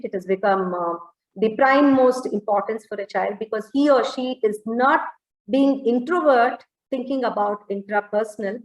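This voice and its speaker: A woman with an Indian accent speaking off the cuff as an education panelist, in a halting way with frequent self-corrections. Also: on the high side. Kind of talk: extempore panel talk on education. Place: indoor room, online webinar. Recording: roomy, echoing online call audio.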